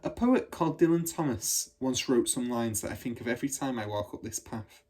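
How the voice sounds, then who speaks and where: Guy doing a soft reserved lady schoolteacher, measured, storybook style, bit husky. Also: mid-range voice, animated. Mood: reciting slowly. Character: gentle, reserved young woman schoolteacher.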